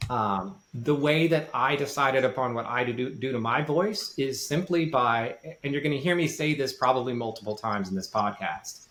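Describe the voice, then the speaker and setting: A man's voice: normal speed, hardly any pauses, fairly bright tone. Man explaining casually in an echoey room. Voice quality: light voice without much bass. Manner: informal, self-deprecating. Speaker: learning developer giving advice on a podcast. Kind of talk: casual explanatory podcast talk. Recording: roomy, echoey sound.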